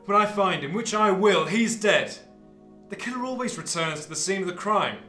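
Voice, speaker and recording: A man's voice: sits high, animated, moderate pace, bright, forceful tone, echoey room, soft background music. A man playing a vengeful, grieving secret agent in a radio drama, emphatic and given to swearing. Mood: grimly vengeful.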